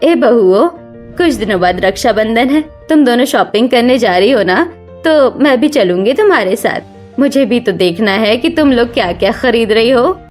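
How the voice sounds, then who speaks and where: A woman's voice: on the high side, animated, normal speed, faint music behind, natural voice. Sex: woman. Acted emphatic middle-aged mother-in-law. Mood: bossy. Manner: scolding, emphatic. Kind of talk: Hindi cartoon family melodrama.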